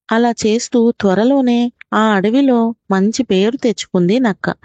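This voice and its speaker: A woman narrates a children's tale in the role of a storytelling mother. Her voice is mellow and soft-edged, at a middle pitch. The recording is dry and a little dull. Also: no room sound.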